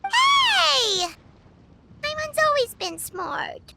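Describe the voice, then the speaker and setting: A woman voicing a small, childlike fairy companion in a video game, squeaky, feisty and emphatic. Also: huge pitch swings, very slow, gravelly, background noise, full character voice. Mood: indignant. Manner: refers to self in third person, exclamatory, emphatic. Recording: music behind the voice. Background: game background music.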